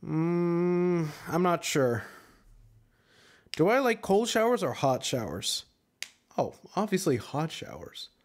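Tired guy in his 20s rambling thru chat questions, bit higher voice, boom mic at home. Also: slow, long pauses. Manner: relaxed, tired, rambling with fillers. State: exhausted. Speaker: streamer answering chat. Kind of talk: casual live-stream chat. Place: small room at home. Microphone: studio mic on boom arm, headset on.

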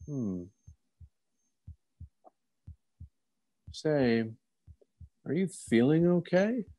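A man's voice: a little high, expressive, slow pace, dark tone, no room sound. A man playing a courteous, inquisitive police officer who muses and probes politely, in old-time radio theater style. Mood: curious. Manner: polite, musing, probing.

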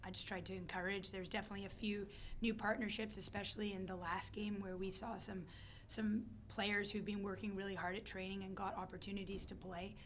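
A middle-aged woman head coach with a hoarse, worn-out voice, answering questions calmly at a postgame press conference on a desk mic. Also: mid-range voice, brisk, slight background noise. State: hoarse, losing her voice. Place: press conference room, seated at a table. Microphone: desk microphone on a stand.

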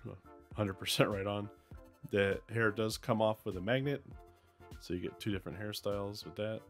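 A man with a voice left raspy by a cold, casually unboxing and reviewing a toy figure with plenty of ums and uhs. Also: fairly low pitch. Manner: relaxed, many ums and uhs.

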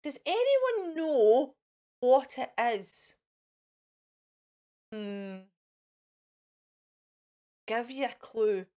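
High, animated Scottish woman hosting for children. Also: extremely slow, pauses often. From Scotland. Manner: exaggerated, sing-song, coaxing with questions and encouragement. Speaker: children's storytime host in character as a fairy. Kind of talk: playful children's presenting to camera. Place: indoors in front of a fairy backdrop.

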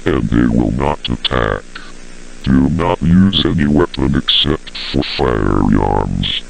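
Man as solemn male alert announcer, heavy vocal fry, commanding horror broadcast warning, faint noise. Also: very deep voice, exaggerated voice, low-quality recording. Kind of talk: scripted emergency broadcast announcement.

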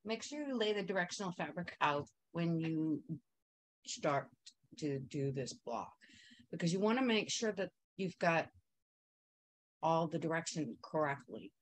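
A woman's voice: low-pitched, lively intonation, unhurried, a little hiss or hum. A woman teaching a quilting technique in a chatty, upbeat way, wandering into asides while she sews. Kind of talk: casual sewing tutorial.

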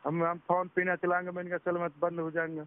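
A man's voice: high-pitched, monotone, quick pace, clear, resonant tone. A man on a phone call, recounting an incident in a repetitive, agitated, casual way. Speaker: phone caller, a driver recounting being scammed. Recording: tinny phone call recording.

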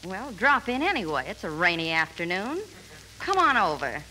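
A woman's voice: lowish voice, very animated, moderate pace, pushed and bright. A woman playing a coy, flirtatious widow in a 1940s radio comedy, heard through a telephone filter. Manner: coy, suggestive, familiar. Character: flirtatious, breezy widow of a murdered man. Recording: noisy old 1940s radio recording.

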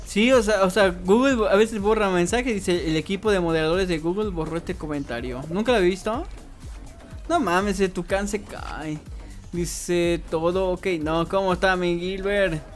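A man's voice: high-pitched, normal speed, soft background music. A young man with a Mexican accent chatting casually on a game livestream, reading viewers' comments aloud and answering them. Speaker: streamer. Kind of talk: casual livestream chat while gaming.

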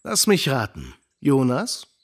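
A man playing a kind, wise uncle who gently instructs children in an audio drama. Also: medium pitch, huge pitch swings, slow, full character voice. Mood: kindly, firm.

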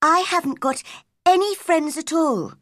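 A woman's voice: very high voice, a little slow, a bit bright, dry recording, clean studio sound, pitched above the performer's own voice. A woman voicing a lonely young girl in a storybook, earnest and eager.

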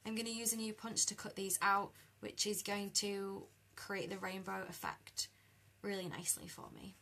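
A young British woman with a croaky voice chatting easily with live viewers while she colours at a craft desk. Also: medium pitch, level tone, moderate pace, pushed and bright. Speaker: card-making demonstrator. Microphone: overhead camera over a desk.

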